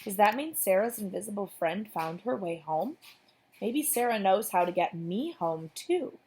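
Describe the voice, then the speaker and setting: A woman's voice: medium pitch, lively intonation, moderate pace, darkish tone, breathy edge. A woman voicing a small male imaginary friend from a storybook, anxious and earnest, wondering aloud with wry asides. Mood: hopeful urgency.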